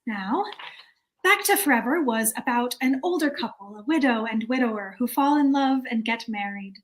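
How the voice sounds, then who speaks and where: A woman's voice: fairly high pitch, lively intonation, normal speed, natural voice, rough recording. A woman acting a prim, officious committee chairwoman with a formal, fussy manner, in an amateur play reading. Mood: businesslike.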